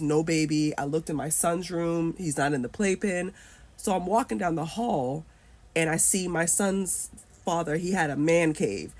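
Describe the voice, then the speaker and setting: A woman's voice: low voice, a little mellow. A woman in her thirties telling a personal story candidly, swearing freely. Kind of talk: personal story told to camera.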